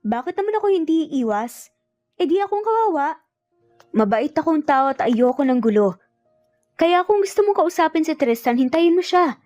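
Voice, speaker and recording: A woman's voice: high voice, very animated, normal speed, long pauses, a little mellow, highs cut off, faint music behind, pitched above the performer's own voice. A woman voicing a kind but firm young woman in a romance audio drama, speaking boldly and with emphasis. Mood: firm, defiant. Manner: bold, emphatic, annoyed.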